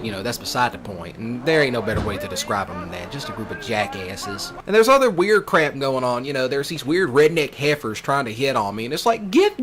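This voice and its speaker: A man's voice: mid-range voice, noisy background. A young man with a Southern US accent rants and rambles casually over a let's play, in a colloquial, jokey, self-deprecating way. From Mississippi, US. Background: game music and vehicle sounds.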